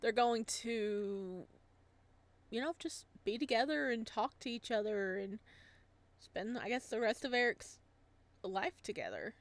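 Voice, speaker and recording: Expressive higher-voiced woman chatting over a game. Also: no echo. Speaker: gaming channel host. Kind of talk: casual playthrough commentary, reading visual novel aloud.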